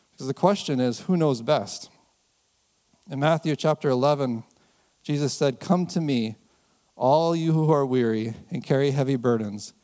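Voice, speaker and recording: An American man preaching a sermon in a conversational way, chuckling often and slowing down to stress key phrases. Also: mid-range voice, mellow. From United States. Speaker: pastor.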